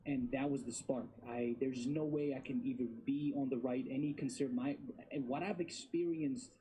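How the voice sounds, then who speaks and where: A man's voice: medium pitch, steady tone, normal speed, dark tone, husky, low-quality recording. A man in his thirties from Bosnia and Herzegovina, a guest talking casually and emphatically, repeating his words. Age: 30s. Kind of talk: casual interview talk.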